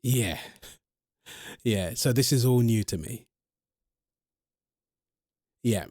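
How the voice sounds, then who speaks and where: A man's voice: medium pitch, extremely slow, darkish tone, no room sound, clean studio sound. A man playing an earnest centuries-old vampire in love, polite and insistent, in an ASMR-style audio roleplay. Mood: nervous, polite. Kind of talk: ASMR audio roleplay, one-sided interview.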